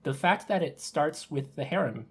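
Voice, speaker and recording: A man's voice: a little high, lively intonation, moderate pace, husky. A man chatting informally as a podcast host, reacting readily to the others. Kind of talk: casual podcast chat.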